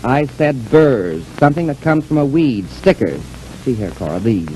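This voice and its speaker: Guy doing a fussy prissy theater wardrobe man, mellow voice, old hissy 1940s radio. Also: mid-range voice, big pitch swings, normal speed, lightly acted, low-quality recording. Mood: fussy, dismayed. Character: fussy, theatrical male wardrobe manager, flamboyant. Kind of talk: 1940s radio comedy acting.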